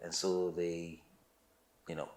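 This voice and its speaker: A Kenyan-accented man telling a story casually and haltingly, repeating himself and slipping in Swahili words. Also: sits low, little pitch movement, darkish tone. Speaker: musician, interview guest. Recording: roomy, echoing sound.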